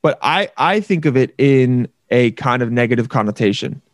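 A man's voice: medium pitch, expressive, moderate pace, dry recording. Male podcast host, playful and jokey, dry sound. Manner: playful, jokey, acts out little voices. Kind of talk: casual chat.

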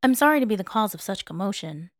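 A woman's voice: medium pitch, animated, fast, dry recording, clean studio sound, lightly acted. A woman voicing a polite, formal older male guard in an audiobook. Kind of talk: audiobook character dialogue.

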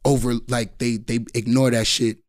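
A rapper with a deep voice, talking in laid-back slang during a casual podcast conversation. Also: male voice, normal speed, no room sound, clean studio sound. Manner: laid-back slangy talk, steady flat delivery. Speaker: rapper guest.

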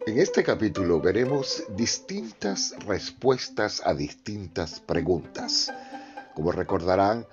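A deep-voiced man introduces a radio drama in a theatrical, scripted way. His intonation moves a lot, and the slightly dull recording has clear music behind him. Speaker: presenter. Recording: dull lo-fi sound, prominent music.

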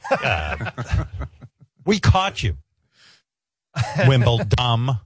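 A man's voice: mid-range voice, wildly swooping pitch, drawn-out words, husky, dry recording. A male radio host in casual, profane banter, indignant and often laughing.

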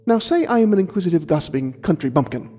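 A man's voice: sits high, very animated, fast talker, warm tone, pitched above the performer's own voice. A man voicing a young lady with a theatrical manner in a story, in a reverberant recording. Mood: playful teasing. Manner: mischievous, bantering, theatrical. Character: teasing, playful young lady, later distraught. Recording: reverberant hall, faint music.

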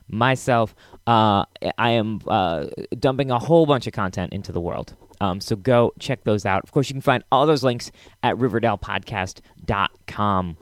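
A male podcast host talks casually and with enthusiasm. His pitch is mid-range for a man and his delivery is chatty. The recording is close and dry. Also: dry recording.

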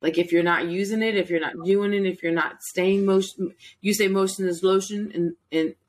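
A woman with a mid-pitched voice hosts a relaxed, chatty interview. She sounds informal and animated, and often rephrases her thought as she goes. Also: normal speed. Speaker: interviewer, host and instructor. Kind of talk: casual chat interview.

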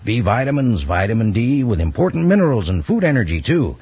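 An American man doing a hearty, folksy 1950s radio sales pitch in cowboy patter. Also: sing-song, fairly quick, a little mellow, husky. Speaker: announcer and sponsor pitchman. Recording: muffled old radio broadcast.

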